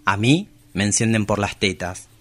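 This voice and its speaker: Radio monologue: male actor as seductive travesti poet, lowish pitch, intimate, confessional and yearning. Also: normal speed, fairly bright tone. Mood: sensual.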